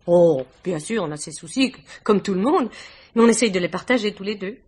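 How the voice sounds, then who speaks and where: Devoted wife, 1950s radio drama: talkative hostess, romantic and polite, slightly husky voice. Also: female voice, mid-range voice, expressive, fairly quick, darkish tone. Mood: tender, content. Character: gracious, chatty, devoted young wife and hostess. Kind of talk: 1950s French radio mystery acting.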